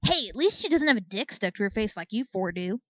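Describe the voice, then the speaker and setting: A woman's voice: medium pitch, big pitch swings, a little fast, no room sound, muffled recording. A woman voicing a brash, breezy Pegasus soldier mare in a storybook, blunt and unbothered.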